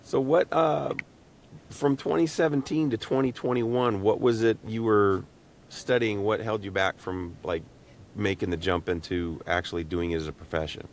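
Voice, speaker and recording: A man with a rough, hoarse voice, sick, co-hosting a casual interview with joking, friendly banter. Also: fairly low pitch, steady tone, moderate pace. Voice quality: rough, raspy. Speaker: podcast co-host interviewing guests. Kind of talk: casual chat.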